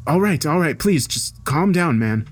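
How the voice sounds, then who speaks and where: A man's voice: medium pitch, animated, moderate pace, voice pushed up, natural voice. Hesitant, intimidated young employee, voiced by a man. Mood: pleading, nervous. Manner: hesitant, soft-spoken, pleading. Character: intimidated male junior journalist, placating but quietly exasperated. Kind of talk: dramatised audio story dialogue.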